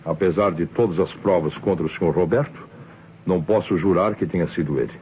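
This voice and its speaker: An actor playing a shrewd, sardonic Brazilian police inspector in an old radio crime drama, measured and probing. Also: male voice, sits low, dark tone, natural voice. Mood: sardonic, pressing. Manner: measured, probing, rhetorical questioning. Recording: dull old radio recording, faint noise.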